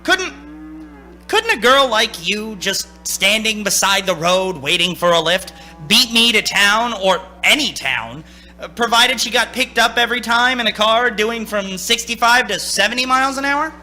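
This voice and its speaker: Actor as a haunted young man, bright intense voice. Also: high voice, lively intonation, moderate pace, exaggerated voice. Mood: uneasy, probing. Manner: stammering, urgent, intense. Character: haunted young male driver, anxious, unraveling into desperation. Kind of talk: theatrical radio play acting with first-person narration. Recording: stage radio play with music.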